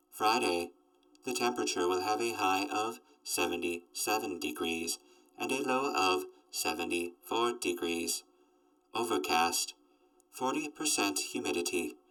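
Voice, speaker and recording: A man's voice: low-pitched, bright, forceful tone, recorded from a distance, heavily acted, low-quality recording. A man playing an emotionless robot that delivers formal, stilted forecasts in an audio drama. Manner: stilted, mechanical, formal announcements. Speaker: robot companion reading forecasts. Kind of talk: post-apocalyptic audio drama.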